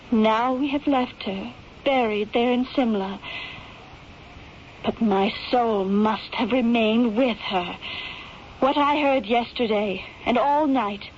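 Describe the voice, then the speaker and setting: Radio drama daughter: young, grief-stricken, drawn-out delivery, melodramatic; dull vintage sound with faint noise. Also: female voice, a little high. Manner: slow, plaintive, melodramatic. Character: grieving, haunted, earnest young daughter. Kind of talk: old-time radio drama acting.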